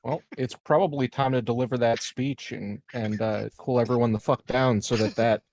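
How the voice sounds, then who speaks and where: A man's voice: medium pitch, steady tone, normal speed, a little mellow. A man playing a tabletop roleplaying game, chatting casually with hesitations and some swearing.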